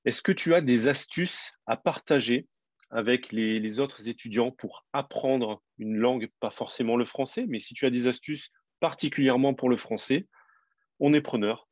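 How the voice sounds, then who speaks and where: A man who teaches French, hosting an interview and asking quiz questions clearly and encouragingly. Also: medium pitch, moderate pace, dry recording, highs cut off. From France.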